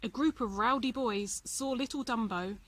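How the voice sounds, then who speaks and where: A woman reading a children's storybook aloud in an expressive narrating style. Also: a little high, normal speed. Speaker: volunteer storybook reader.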